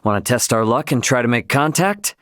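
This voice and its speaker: A man's voice: medium pitch, lively intonation, a little fast, a bit bright, dry recording, natural voice. Guy acting as young brash space captain for a video game, plainspoken and casual, midrange voice, super dry recording. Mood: impatient. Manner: casual, plainspoken delivery with easy slang.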